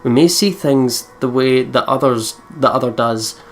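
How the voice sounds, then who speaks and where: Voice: mid-range voice, measured pace, faint music behind. A let's play host reading a visual novel's narration steadily and laughing often between lines.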